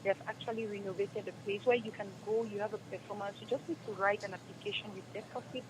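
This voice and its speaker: A woman's voice: mid-range voice, steady tone, fast, background noise. A woman with a Cameroonian accent answering as an interview guest, speaking earnestly and repeating phrases for emphasis. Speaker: stage and radio drama actress, interview guest. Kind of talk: radio interview, spontaneous answers.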